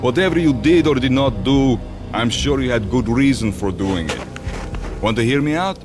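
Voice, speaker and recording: An actor playing a jovial, theatrical gangster arms dealer in a video game, with a showy, wisecracking manner. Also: male voice, mid-range voice, expressive, moderate pace, close to an everyday voice. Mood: businesslike. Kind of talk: video game character acting. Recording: music behind the voice.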